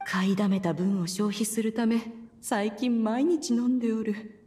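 A woman's voice: on the high side, fairly even intonation, a little slow, dark tone, breathy edge. A woman voicing a proud immortal lady who poses as a doting auntie in a video game, theatrical and mannered. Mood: haughty, musing. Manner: switches between doting feminine chatter and archaic haughty speech. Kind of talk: Japanese video game voice acting.

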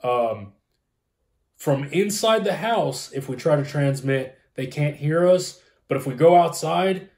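A man's voice: fairly high pitch, animated, unhurried, darkish tone. A man in his thirties chatting casually and informatively as he explains things as a co-host, recorded in a room at home. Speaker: co-host explaining ham radio. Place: small room at home, indoors. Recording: roomy, echoey home room.

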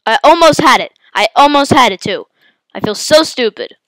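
Congested, excitable woman gamer. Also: high-pitched, moderate pace, no room sound, poor audio. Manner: excitable, yelps and exclaims at the game. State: congested with a head cold. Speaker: gaming channel host. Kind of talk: live gameplay commentary.

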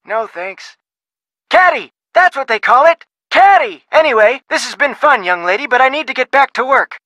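A blustery folksy researcher. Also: male voice, very high-pitched, animated, moderate pace, pushed and bright, dry recording, exaggerated voice. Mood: gruff. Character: gruff folksy older male shipwreck researcher. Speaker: phone contact in a game.

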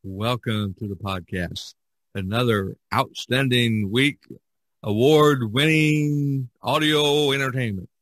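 An American man hosting a podcast casually, often pausing in the middle of his phrases. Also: medium pitch, a bit bright, no echo. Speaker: podcast host.

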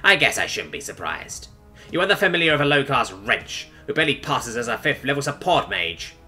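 A man voicing a haughty, boastful old noble magistrate with a gruff delivery, in an audiobook. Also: mid-range voice, sing-song, a little fast, pushed and bright, faint music behind. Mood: scornful. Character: pompous, smug old male noble magistrate, villain. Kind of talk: audiobook character voice.

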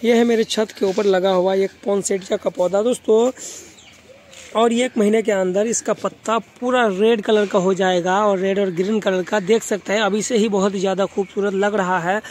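Man, high voice, Bengali accent, native Bengali speaker, informal gardening vlogger, repetitive phrasing, faint outdoor noise with birds. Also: steady tone, normal speed, a little mellow. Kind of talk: informal video tour narration.

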